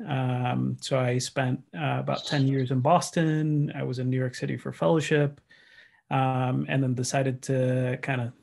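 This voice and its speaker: A man in his thirties, from Colombia, introducing himself on a video call in a measured way with fillers. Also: medium pitch, creaky, a little mellow. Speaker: urologist, faculty member.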